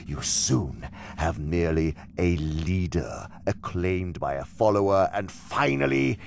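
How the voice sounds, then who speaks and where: An actor playing a cunning, condescending senior demon who lectures with imperious scorn in an audio drama. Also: male voice, low voice, lively intonation, gravelly, slight background noise, full character voice. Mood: smug lecturing. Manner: scornful, deliberate, theatrical lecturing. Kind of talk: audio drama monologue-like instruction.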